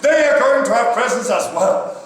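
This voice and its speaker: A man voicing a grateful, generous old king in a loud, declamatory manner for live storytelling. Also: very high-pitched, lively intonation, moderate pace. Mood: grand gratitude. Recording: echoey hall, distant mic, audience sounds.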